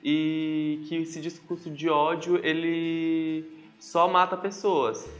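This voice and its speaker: A man's voice: a little high, flat delivery, slow, fairly bright tone, faint music behind. A Brazilian man in his twenties sharing his views in a documentary interview. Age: 20s. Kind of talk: opinion and testimony in interview.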